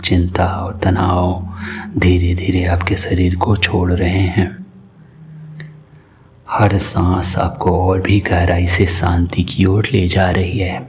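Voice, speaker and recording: Slow, deep-voiced man guiding meditation. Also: a little hiss or hum. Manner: calm, soothing, unhurried instruction. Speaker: meditation guide. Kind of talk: guided meditation narration.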